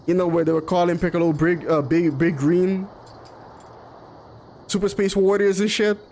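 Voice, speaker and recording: A man's voice: high voice, steady tone, normal speed, a little mellow. A man from Curaçao with Papiamento as his mother tongue, chatting casually and opinionatedly as he streams a game. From Curaçao, in the Caribbean. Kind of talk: casual live stream chat over gameplay. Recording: clearly noisy background.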